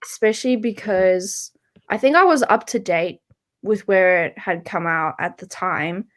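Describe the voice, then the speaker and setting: A woman's voice: medium pitch, unhurried. A woman co-hosting a book readalong live show in casual chat, informal and tentative as she thinks aloud.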